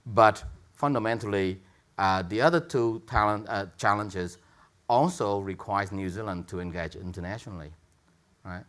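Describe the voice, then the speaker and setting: A man speaking as a tech entrepreneur on a panel, talking in a halting way, with repeated words and many fillers. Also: on the low side, fairly bright tone. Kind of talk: panel discussion remarks.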